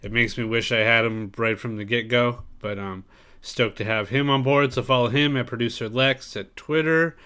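A podcast host with a stuffed-up, nasal voice rambles through plugs and chat, filling gaps with ums and uhs and a few swear words. His pitch sits in an ordinary middle range for a man. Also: steady tone, normal speed, pushed and bright, smooth. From San Diego area. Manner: loose, rambling, with many fillers and swearing. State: congested, nasal.

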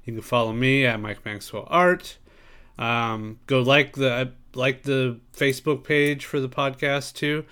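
A man's voice: mid-range voice, fairly even intonation, measured pace, sharp tone, smooth. A man hosting a podcast, talking in a loose, rambling way with lots of fillers and some swearing, his voice stuffed up and nasal from a cold. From San Diego area. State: congested, nasal. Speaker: podcast host. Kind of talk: casual podcast chat.

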